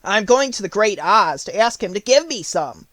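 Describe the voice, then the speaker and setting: A man's voice: high voice, animated, normal speed, fairly bright tone, dry recording, voice pushed up. A man voicing a friendly straw-stuffed scarecrow in a storybook, simple, frank and earnest.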